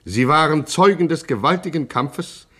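An actor playing a bombastic storyteller, declaiming a mock-heroic gangster tale as radio narration. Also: male voice, a little high, lively intonation, moderate pace, pushed and bright, breathy edge, exaggerated voice. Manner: declamatory, grandiose.

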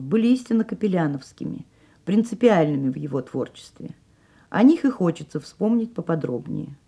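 A woman narrates a radio programme from a script. Her tone is dark and relaxed, with a noticeable creak in the voice. She presents as a formal link between segments. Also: lowish voice.

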